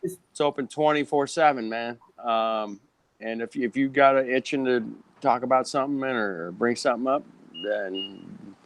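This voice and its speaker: A man's voice: mid-range voice, dry recording. A man hosting a casual video-call chat, laid-back and peppering his talk with "man".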